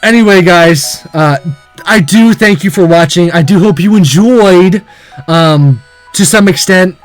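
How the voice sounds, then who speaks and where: A game video host giving casual, opinionated commentary in a blunt, exasperated, halting way. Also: mid-range voice, soft background music.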